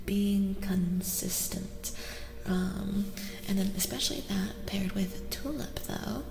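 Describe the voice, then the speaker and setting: Soft, whispery woman in long reverb. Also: medium pitch, slight background noise. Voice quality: soft, breathy, often whispery. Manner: gentle, soothing, repeats phrases slowly. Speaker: tarot-reading streamer host. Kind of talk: soft-spoken ASMR tarot reading.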